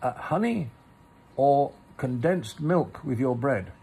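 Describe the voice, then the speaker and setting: Man doing a fussy polite rabbit from a storybook, prim, bit stern, creaky voice. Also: medium pitch, lively intonation, takes it slowly, warm tone, heavily acted. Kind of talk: storybook character reading.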